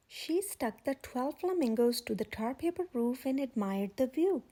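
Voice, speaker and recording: Woman, high voice, mellow tone, children's read-aloud, measured expressive narration. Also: husky. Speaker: children's story channel host and reader. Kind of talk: scripted read-aloud narration of a picture book.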